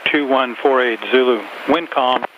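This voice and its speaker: A man's voice: medium pitch, steady tone, measured pace, muffled recording. A man reading a formal scripted airport information broadcast over aviation radio. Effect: aircraft radio. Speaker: recorded airport information announcer. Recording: aviation radio under loud engine noise.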